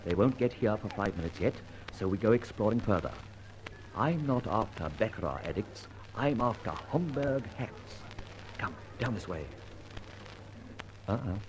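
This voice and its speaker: An actor playing a jaunty, confident gentleman detective in an old-time radio serial, with theatrical, old-fashioned phrasing. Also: lowish voice, animated, moderate pace. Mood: intrigued, cautious. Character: suave, jaunty gentleman adventurer-detective, male, whimsical and confident. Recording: noisy old radio recording.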